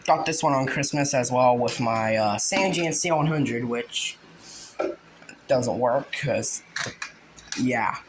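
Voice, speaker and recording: A man giving a casual, halting show-and-tell, informal and often trailing off. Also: medium pitch. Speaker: hobbyist video creator. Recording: noisy home video with clicks.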